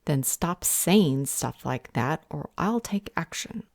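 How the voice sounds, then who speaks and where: A woman playing a stern, protective vampire healer in an audio roleplay, speaking in a measured, firm and earnest way. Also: low-pitched, lively intonation, a little mellow, no room sound, studio quality, heavily acted.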